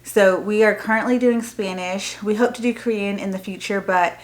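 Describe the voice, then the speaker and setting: A woman's voice: mid-range voice, steady tone, normal speed. An American woman with a mid-range voice speaks as the host of a casual homeschooling vlog. She explains things in a chatty, informal way. Her sentences wander a little as she goes. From Texas, United States. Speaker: homeschooling mother and video host. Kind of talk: casual vlog product talk to camera.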